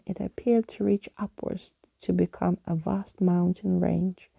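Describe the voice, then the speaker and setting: Mellow, creaky-voiced woman reading softly, ASMR style. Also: medium pitch, dry recording. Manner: soft, hushed, lapsing into whispers. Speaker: storyteller reading a book to listeners. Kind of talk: ASMR bedtime story reading. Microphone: close microphone.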